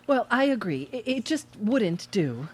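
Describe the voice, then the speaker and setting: An actress playing a tactful but frank wife in a live radio play, with halting, amused delivery. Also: female voice, mid-range voice, sing-song, a little mellow, slightly rough. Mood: amused, polite.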